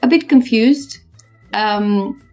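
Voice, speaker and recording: A woman's voice: on the high side, takes it slowly, a little mellow. A woman hosting a radio interview, asking polite, hesitant questions. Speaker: radio host. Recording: dull lo-fi radio sound with faint music.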